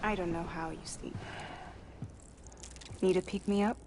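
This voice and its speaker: An actress playing a brisk, playful young woman courier in a video game, with a casual, clipped way of talking. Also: on the low side, fairly even intonation, natural voice. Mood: cool, breezy. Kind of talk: video game cutscene acting. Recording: clear background noise.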